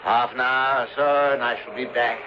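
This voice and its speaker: An actor playing a falsely solicitous, scheming uncle with a smooth, avuncular manner in an old-time radio drama. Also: male voice, mid-range voice, fairly even intonation, normal speed, natural voice. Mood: smoothly solicitous. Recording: dull old radio broadcast, faint noise.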